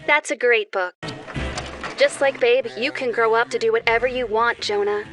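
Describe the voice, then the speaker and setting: Woman playing a gentle, higher-voiced cartoon guardian angel. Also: normal speed, natural voice. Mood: kindly, encouraging. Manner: soothing, instructive, addresses the young viewer directly. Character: gentle nurturing female angel host of a children's cartoon. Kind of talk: children's educational show dialogue. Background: background music.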